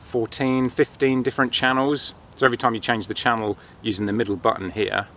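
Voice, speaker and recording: A man's voice: medium pitch, little pitch movement, fairly quick, a bit bright, a little hiss or hum. A man in his thirties chatting casually and unscripted as he reviews gear, recorded outdoors on a camera mic. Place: outdoors in autumn woodland.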